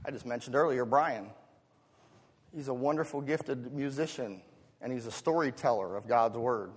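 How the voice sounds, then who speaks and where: A man's voice: mid-range voice, moderate pace, breathy edge. An American man preaching a sermon as a pastor, moving between rhetorical questions, conversational asides and emphatic swells. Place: large reverberant church hall. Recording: long hall reverb.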